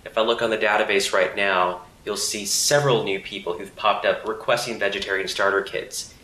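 A man in his thirties speaks with earnest conviction, answering interview questions as an advocacy coordinator. His voice is bright and forceful, a little low for a man. The office room around him adds a roomy echo. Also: fairly quick, a little hiss or hum. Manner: earnest, assured advocacy talk. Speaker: campaign coordinator for an animal advocacy group. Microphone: handheld interview mic.

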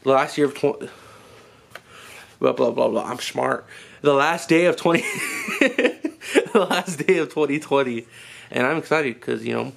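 A man's voice: fairly high pitch, huge pitch swings, measured pace, gravelly. A young man talking to a handheld camera at home in a joking, teasing way. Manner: joking, teasing, laughs often. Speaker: vlogger. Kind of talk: casual vlog chat. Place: small house rooms, indoors. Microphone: handheld camera at arm's length.

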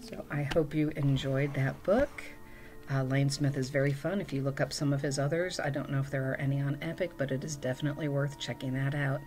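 A middle-aged woman reading a picture book aloud as a storytime reader, with chatty asides and expressive, drawn-out emphasis. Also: extremely low pitch, faint music behind. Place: small room at home.

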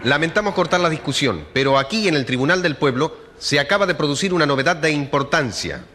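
A man's voice: a little high, lively intonation, quick pace, pushed and bright. An actor playing a formal, emphatic male radio reporter announcing news live in a radio drama. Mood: formal, urgent. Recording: radio play with long hall reverb.

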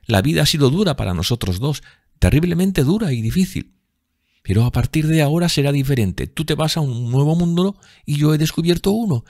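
Un hombre que da voz a una joven actriz enamorada y risueña, con un habla musical y exclamativa, en un audiolibro. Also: male voice, fairly high pitch, expressive, a little fast, darkish tone, no room sound, studio quality, voice pushed up. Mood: playful, joyful. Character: giddy, lovestruck young woman, a dreamy actress.

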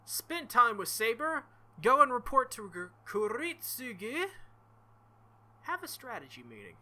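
A young man reading a visual novel aloud and commenting, animated and thinking out loud. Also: very high-pitched, measured pace. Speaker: let's play host.

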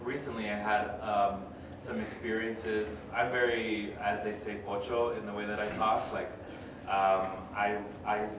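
A young man whose first language is Spanish, hosting a live podcast and talking in a chatty, animated way full of fillers. His voice sits a touch low. It is heard from across a big echoing gallery hall, with steady noise behind. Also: a little slow. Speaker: podcast host and artist. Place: large gallery hall with audience. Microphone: table mic heard from far off. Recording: echoey hall, far from mic, noise.

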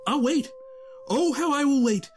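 A man's voice: extremely high pitch, measured pace, a little hiss or hum. A man voicing a skinny, gloomy young cartoonist who narrates with sardonic, theatrical mock-grandeur. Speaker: narrator and lead.

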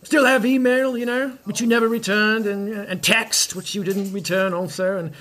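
Man acting a high-voiced, boastful washed-up rock star. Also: steady tone, normal speed, fairly bright tone, rough recording. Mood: huffy, rambling. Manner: stammering, rambling, faux-matey. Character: washed-up middle-aged rock star, boastful, needy schmoozer. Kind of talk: podcast comedy acting.

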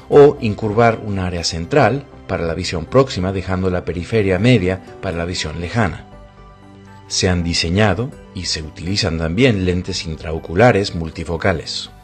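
A man narrating a scripted medical explainer video in a formal, instructional manner. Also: sits low.

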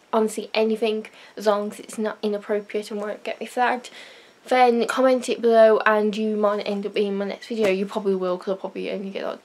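A teenage girl rambling in a casual, self-mocking vlog, talking into a webcam in her bedroom. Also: medium pitch. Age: teens. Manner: rambling, self-mocking, loud sudden outbursts. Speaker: vlogger. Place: small bedroom, indoors. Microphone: webcam at desk.

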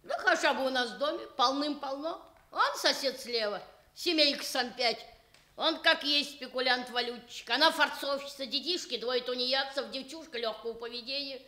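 A nosy old gossip lady, shrill n high, folksy and boastful, roomy old radio play. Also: normal speed, bright, forceful tone. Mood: conspiratorial, indignant. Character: brash gossipy elderly female informer, folksy and combative. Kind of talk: stage comedy acting for radio. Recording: echoey Soviet radio theatre recording.

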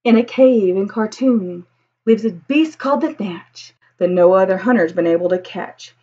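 A woman's voice: mid-range voice, moderate pace, mellow, husky, dull audio. A woman in her thirties reading a children's picture book aloud expressively, with a singsong, rhythmic delivery. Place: small indoor room with bookshelves.

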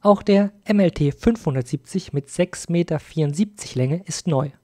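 A man's voice: a little high, moderate pace, dark tone. A man hosting a video as an informal review voice-over, speaking directly to viewers. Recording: music behind the voice. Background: music.

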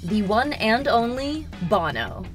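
Lively high-pitched woman narrating a countdown. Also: takes it slowly. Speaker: host and narrator of a film countdown. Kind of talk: upbeat scripted entertainment narration. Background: background music.

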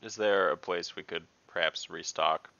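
A man roleplays in an online tabletop game with a very deep, gravelly voice. He speaks slowly and deadpan, with a bright edge. The sound is dry and lo-fi. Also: dry recording. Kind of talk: in-character roleplay. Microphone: video call. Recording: dry lo-fi video call audio.

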